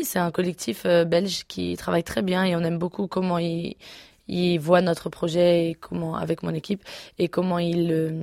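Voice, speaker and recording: A woman in her twenties, a singer answering interview questions casually, pausing often with fillers and restarts. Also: fairly low pitch, creaky, no room sound, clean studio sound. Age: 20s. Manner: hesitant, many fillers and restarts. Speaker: singer guest.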